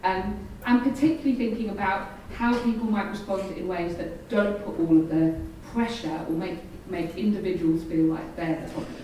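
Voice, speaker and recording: A woman's voice: mid-range voice, normal speed, few pauses, mellow. A woman giving an explanatory live presentation as a panel speaker, with involuntary vocal tics that interject stray words. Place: event venue, recorded from afar. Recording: very echoey room, distant mic, faint noise.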